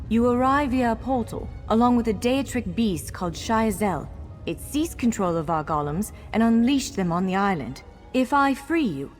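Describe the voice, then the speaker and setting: A woman's voice: mid-range voice, natural voice. A woman playing a courteous, wry elf scholar guiding someone in a video game, articulate and formal yet playful. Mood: calm, wary. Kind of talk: video game character dialogue. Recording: long hall reverb over music.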